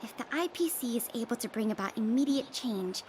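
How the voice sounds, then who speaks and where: A woman's voice: a little high, expressive, brisk, a little mellow, slight background noise, lightly acted. An actress playing a friendly, pragmatic and thoughtful young woman in a video game, speaking expressively. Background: background music.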